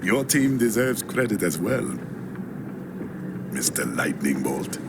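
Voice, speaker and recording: An actor playing a dignified, thoughtful prime minister with a measured, statesmanlike delivery in a video game cutscene. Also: male voice, deep voice, sing-song, heavy background noise, exaggerated voice. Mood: proud, gracious.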